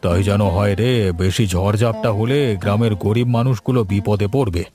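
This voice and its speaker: A man voicing a gentle, worried elderly village father in a cartoon story, weary and kindly in manner. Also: fairly low pitch, normal speed, music in the background, natural voice. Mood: weary concern.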